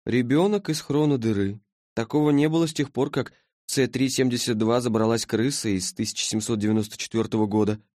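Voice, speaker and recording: A man's voice: mid-range voice, steady tone, brisk, darkish tone, no echo, studio quality, voice pushed up, lightly acted. A man voicing a languid, sleepy female being from the far future in an audiobook, with a listless, clinical drawl.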